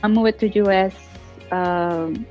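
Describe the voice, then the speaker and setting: A woman's voice: medium pitch, fairly even intonation, takes it slowly, a little mellow, soft background music. Woman from El Salvador, muffled audio. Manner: non-native English, plain phrasing. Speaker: adult English learner.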